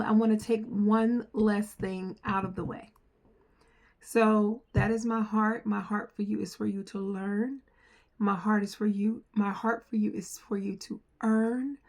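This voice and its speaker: A middle-aged woman from the United States, with an accent that mixes New Jersey, New York and North Carolina, talking earnestly to camera as a software trainer and repeating phrases for emphasis. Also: mid-range voice. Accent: mixed New Jersey, New York and North Carolina. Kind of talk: earnest direct-to-camera talk.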